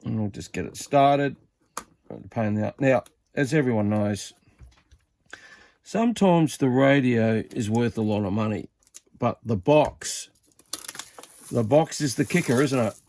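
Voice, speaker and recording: A man's voice: mid-range voice, unhurried, long pauses, a little mellow. A man giving a casual, informal unboxing commentary, muttering to himself as he handles things. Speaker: hobbyist reviewer of vintage CB radios. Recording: clear background noise, handling clicks.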